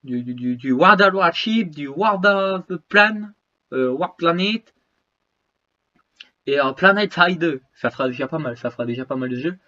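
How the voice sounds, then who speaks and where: A man's voice: on the high side, expressive, a little slow, sharp tone, dull audio. A man with a cold, short of breath, chatting casually and rambling to his viewers as he shows off his phones and gear. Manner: rambling, restarts, slangy. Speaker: YouTuber showing his gear. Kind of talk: casual vlog chat to viewers.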